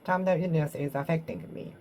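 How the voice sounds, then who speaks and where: A woman with a Japanese accent talks to herself in English, trying out each phrase several times and fixing her own wording. Her voice sits low for a woman. A faint hiss runs underneath. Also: a little mellow. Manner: repeats and reworks phrases, pausing and self-correcting. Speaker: English learner. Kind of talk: solo speaking practice, talking to herself.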